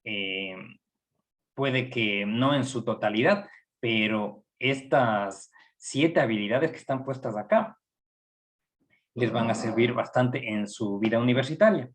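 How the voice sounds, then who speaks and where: Man, Ecuadorian accent, middle-aged, university lecturer, measured delivery, frequent fillers, mid-pitched voice. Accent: Ecuadorian Spanish. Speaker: psychology professor giving a training session. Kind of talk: recorded online lecture.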